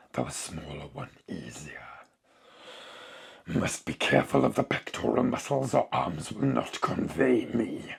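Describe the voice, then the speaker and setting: A sinister alien parasite speaks through a corpse. The voice is an extremely husky, whispering croak full of gravel. It moves slowly in clipped, halting phrases, gloating coldly over each word. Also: male voice, fairly low pitch, exaggerated voice. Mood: clinical, sinister. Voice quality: hoarse raspy croaking whisper. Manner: halting, clipped telegraphic speech, savouring words.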